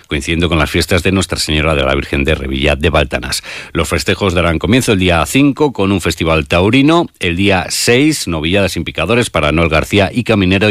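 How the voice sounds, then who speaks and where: A man's voice: deep voice, a bit bright, no room sound, studio quality. A man with a Castilian Spanish accent presenting scripted local news on the radio.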